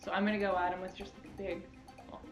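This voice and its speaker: A woman's voice: mid-range voice, little pitch movement, racing. Young woman player with music behind. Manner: casual, asks rules questions. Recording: roomy room mic with clear music.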